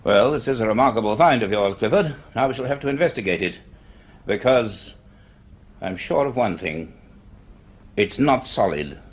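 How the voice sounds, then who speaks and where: An actor playing an inquisitive, authoritative university professor in a radio drama, with a measured, deliberate, donnish delivery. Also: male voice, on the low side, expressive. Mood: curious, concerned. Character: inquisitive, authoritative male university professor and scientist narrating his tale. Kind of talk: radio drama acting and reflective first-person narration.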